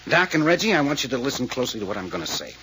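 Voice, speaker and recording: A man's voice: mid-range voice, sing-song, racing, sharp tone. A man acting a terse, authoritative, secretive detective in a 1940s-style radio serial, on an old noisy recording. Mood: urgent, instructing. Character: terse, commanding male detective laying a trap.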